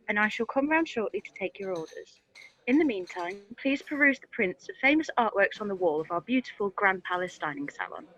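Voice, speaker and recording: A woman's voice: a little high, a bit bright, dull audio. A woman playing a cheery, slightly dim waitress in a comic radio play. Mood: formal announcing.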